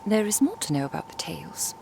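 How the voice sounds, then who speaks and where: Woman acting a lively-pitched, composed merchant woman. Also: creaky, slight background noise. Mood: intrigued, gentle. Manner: measured, formal, inquisitive. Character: curious, composed female traveling merchant and slave master. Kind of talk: ASMR roleplay audio drama.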